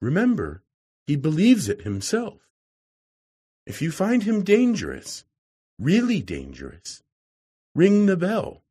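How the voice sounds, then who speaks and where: Man playing worried genteel wife, urgent, cautioning, raised pitch, lilting delivery, audiobook character. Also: huge pitch swings, slow, long pauses, warm tone, breathy edge, full character voice. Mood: anxious. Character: anxious, polite married lady warning a visitor.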